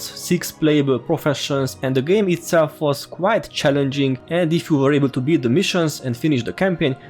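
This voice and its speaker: A man's voice: a little high, darkish tone. A man with a strong Hungarian accent, giving a friendly, informal game tutorial as a voice-over. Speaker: gaming YouTuber.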